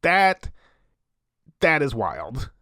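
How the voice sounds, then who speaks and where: A late-night host of a spooky eighties radio show. He talks casually and sympathetically, in a folksy, off-the-cuff way. His voice sits at an average pitch in a very dry studio. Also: wildly swooping pitch, drawn-out words, sharp tone, dry recording, exaggerated voice. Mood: moved, sympathetic.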